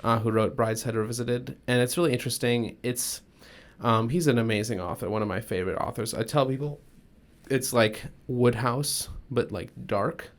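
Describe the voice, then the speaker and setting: Young guy cohosting a podcast, laid back, lots of ums and likes, mid-pitched voice. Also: normal speed. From United States. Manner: relaxed, chatty, lots of fillers. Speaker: podcast co-host. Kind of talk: casual podcast chat.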